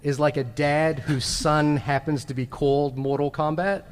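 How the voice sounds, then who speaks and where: A man's voice: on the high side, steady tone, moderate pace. A man chatting casually and jokingly as a panelist on a live podcast stage. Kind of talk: casual panel chat before a live audience. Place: large convention hall stage. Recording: echoey hall, live on stage.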